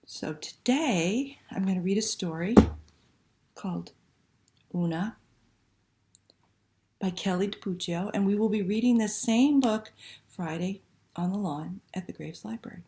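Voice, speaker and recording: A woman's voice: mid-range voice, a little slow, pauses often, mellow. A woman hosting a library story time, reading aloud and talking to young children with simple questions and prompts.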